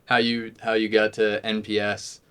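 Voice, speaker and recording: A man hosting a podcast interview, easygoing and quick with short affirmations. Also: on the low side, monotone, a bit bright, smooth. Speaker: podcast host. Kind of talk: casual interview.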